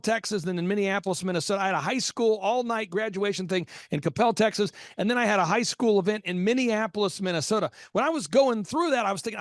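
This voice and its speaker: High, dry-sounding male host, animated, preachy, punchy repetition. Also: dry recording. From Oklahoma. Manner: animated, preachy, repeats punchlines with sudden shouts. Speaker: podcast host. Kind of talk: energetic business podcast talk.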